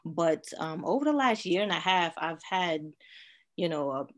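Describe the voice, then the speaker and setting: A woman's voice: lowish voice, expressive, moderate pace. Chatty slangy woman relationship coach. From Trinidad. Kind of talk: casual interview chat.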